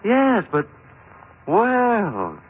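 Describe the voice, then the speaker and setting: An actor playing an affable, slightly flustered young husband with a hesitant, folksy manner in 1940s radio acting. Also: male voice, high-pitched, wildly swooping pitch, drawn-out words, full character voice. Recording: muffled, noisy old radio recording.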